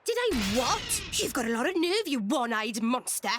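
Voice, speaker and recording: A woman's voice: high-pitched, sing-song, normal speed, over music. A woman playing a feisty, hot-tempered young woman in a video game, brash and indignant. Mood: indignant, embarrassed.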